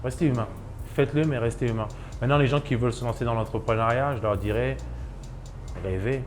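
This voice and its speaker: A man's voice: mid-range voice, fast talker, slight background noise. A man in his thirties from Ivory Coast, a chef and restaurant owner, speaking in a documentary interview with many hesitations and restarts.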